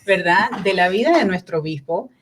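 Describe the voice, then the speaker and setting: A woman's voice: fairly low pitch, animated, moderate pace, a little hiss or hum. A woman hosting a faith radio program, interviewing a guest and leading a heartfelt prayer. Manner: warm, devout, leads a prayer. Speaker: radio host. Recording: radio studio.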